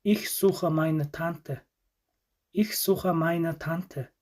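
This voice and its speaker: A slow-spoken young newcomer from Spain. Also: male voice, a little high, fairly even intonation, darkish tone. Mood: unsure. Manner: slow, careful learner's phrasing. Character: young man newly arrived, lost and unsure. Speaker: newcomer in a language-learning film.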